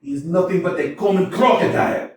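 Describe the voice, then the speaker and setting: An amateur actor playing a blustering, scornful landowner in a staged play reading, swinging between shouting and mock-tender crooning. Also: male voice, fairly quick, slight background noise, natural voice. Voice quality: booming, theatrical. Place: small community theater stage. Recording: echoey hall from a distance.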